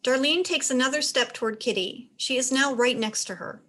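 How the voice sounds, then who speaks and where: High-voiced woman reading stage directions. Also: normal speed, pushed and bright. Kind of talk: scripted narration.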